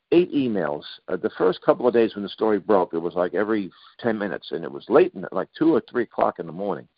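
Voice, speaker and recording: Middle-aged male radio host, sarcastic, halting delivery; dull, dry lo-fi sound. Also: fairly low pitch, no room sound. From Boston, Massachusetts. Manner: sarcastic, halting with frequent uhs and restarts. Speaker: radio talk show host. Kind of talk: talk radio monologue.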